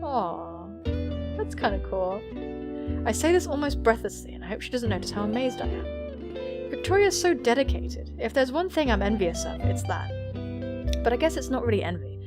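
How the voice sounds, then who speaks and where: A woman's voice: on the high side, sing-song, moderate pace, over loud music. A woman voicing an earnest, nervous student girl who narrates her thoughts in a visual novel game. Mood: awed.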